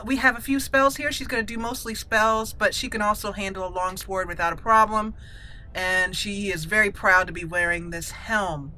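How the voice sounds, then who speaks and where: Bright-voiced woman doing playful game commentary. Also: medium pitch, poor audio. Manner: chatty, playful. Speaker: let's play host. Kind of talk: casual gameplay commentary. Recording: faint background noise.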